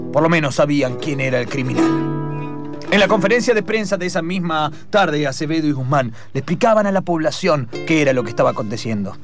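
A man with an Argentine accent narrating improvised radio theatre. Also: on the high side.

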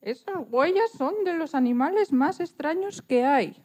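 A woman's voice: high-pitched, animated, measured pace, a little mellow. A woman voicing a gossipy, indignant hen in a children's radio play, complaining emphatically. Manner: emphatic, complaining, repeats words. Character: indignant, gossipy hens fussing about stolen eggs.

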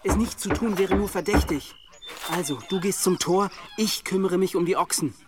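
A man plays a tense, decisive young monk in a radio drama. His voice is high and snappish. He sounds a little away from the mic amid clear noise. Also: moderate pace, far from the mic, natural voice. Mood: tense, irritated. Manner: irritable, decisive. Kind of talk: German radio drama acting.

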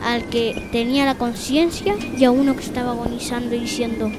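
A female student improvising a spoken horror story as narration, halting as the phrases get repeated and corrected, with loud music under the voice. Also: a little high, flat delivery, poor audio. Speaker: student storyteller in a radio theatre workshop.

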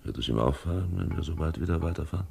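An actor playing a grim, sinister ship's captain in a 1950s radio drama, terse and commanding. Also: male voice, rumbling low, racing, vocal fry, dark tone, heavily acted. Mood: hushed, uneasy. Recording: 1950s radio recording, music underneath.

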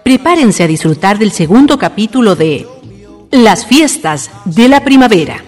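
A Chilean woman narrates a scripted radio history programme. Her pitch is middling but moves in animated swings, over a faint music bed. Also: normal speed.

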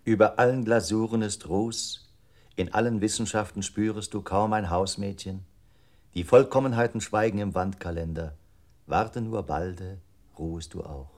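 An actor playing an earnest computer memory unit in a German radio play, reciting formally with careful articulation. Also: male voice, on the low side, moderate pace, a little mellow, close to an everyday voice. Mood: solemn recital.

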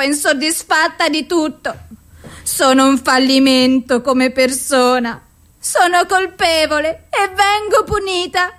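An actress playing a despairing, suicidal and self-loathing woman in an anguished, deliberate theatrical radio monologue. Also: very high voice, a bit bright, heavily acted. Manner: slow, deliberate, anguished delivery.

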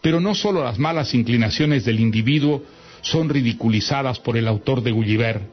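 A man with a mid-pitched voice reads a scripted literary introduction as a radio presenter. His delivery is formal and deliberate, in a slightly dull recording with faint music behind him. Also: husky.

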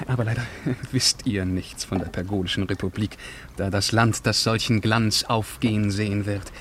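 An actor playing a grandiloquent, self-important scientist from the far future in a German radio play, with florid, ceremonious delivery. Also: male voice, lowish voice, fairly even intonation, moderate pace, a bit bright, a little hiss or hum, lightly acted. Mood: boastful.

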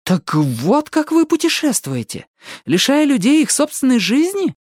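A woman voicing a sharp, stubborn teenage girl in an audiobook, emphatic and quick to argue or tease. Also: mid-range voice, animated, no room sound, studio quality, voice pushed up, lightly acted. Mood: indignant. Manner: emphatic, argumentative. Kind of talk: audiobook character voice.

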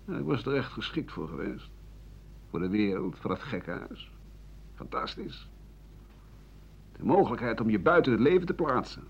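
An actor playing a bitter, cynical old French doctor and writer in a radio play, rambling and scornful with muttered asides. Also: male voice, medium pitch, expressive, moderate pace, vocal fry, pauses often, slightly rough. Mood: wry, regretful. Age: older. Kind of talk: radio play monologue in interview form. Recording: muffled, noisy 1980 radio drama recording.

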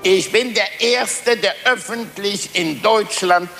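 A man's voice: high-pitched, slow pace, gravelly, over loud music. A man speaking emphatically, repeating himself and throwing out rhetorical questions.

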